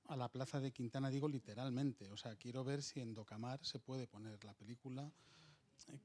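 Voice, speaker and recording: Earnest filmmaker man, mid pitch. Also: a little mellow. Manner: earnest, searching for words, restarts. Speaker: filmmaker, panelist. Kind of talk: round-table discussion.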